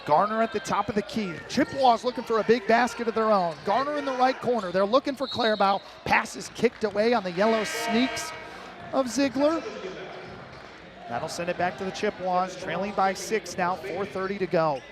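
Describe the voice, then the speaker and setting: A man doing live radio play-by-play of basketball as the announcer, calling the action in clipped, rapid-fire phrases. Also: sits high.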